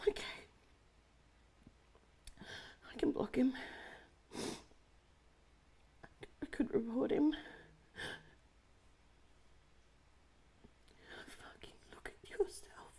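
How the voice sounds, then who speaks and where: A woman's voice: high voice, fairly quick, warm tone, slightly rough, in a whisper. An actress in her forties playing a profane, panicky woman who scolds herself and talks herself through her fear, short of breath. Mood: panicked. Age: 40s. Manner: swears heavily, talks to herself. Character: frazzled forty-year-old woman, profane, self-scolding. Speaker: adult content creator. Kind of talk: dramatic monologue.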